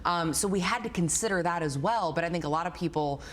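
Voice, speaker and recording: A woman's voice: fairly low pitch, quick pace. A woman on a sports show panel, discussing games live in a conversational, emphatic way that repeats phrases for weight. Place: TV studio.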